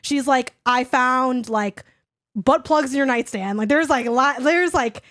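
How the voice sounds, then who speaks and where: Hoarse young woman, rambling chat. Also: high-pitched, measured pace, fairly bright tone, no room sound, studio quality. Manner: rambling, heavy use of "like". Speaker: podcast co-host. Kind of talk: casual chat.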